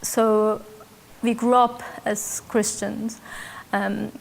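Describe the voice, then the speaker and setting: Woman in her 40s, Korean accent, non-native English, reflective testimony, mid-pitched, unhurried, thoughtful pauses. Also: little pitch movement, vocal fry, a little hiss or hum, rough recording. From South Korea. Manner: reflective, measured, with hesitant fillers. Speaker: interviewee, church member. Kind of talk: personal testimony interview.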